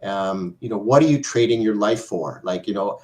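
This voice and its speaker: A mature man with a somewhat low voice shares a personal story. He talks conversationally and loosely, circling back and pausing on filler phrases. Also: a little mellow. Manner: rambling, with frequent "you know" pauses.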